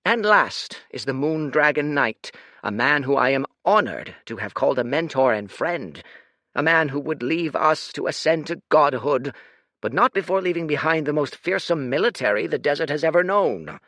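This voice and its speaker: A man's voice: fairly high pitch, lively intonation, moderate pace, pushed and bright, no echo, clean studio sound, voice pushed up. A man voicing an old, scheming lord who gives lofty public speeches. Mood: grandiose. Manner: declamatory, oratorical. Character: old, scheming male city lord, grand speaker.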